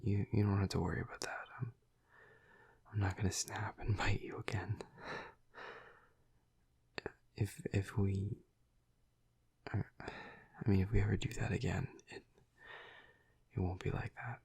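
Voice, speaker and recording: A man playing a tormented, tender vampire lover in an intimate audio roleplay, halting and stammering in a breathy, husky half-whisper. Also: on the low side, little pitch movement, darkish tone, exaggerated voice. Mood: apologetic, tender.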